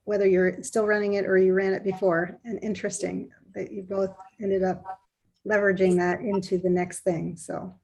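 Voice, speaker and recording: A woman's voice: medium pitch, flat delivery, normal speed, gravelly, a little mellow, slightly rough, low-quality recording. A woman moderating an online panel, upbeat and encouraging as she passes the floor to speakers.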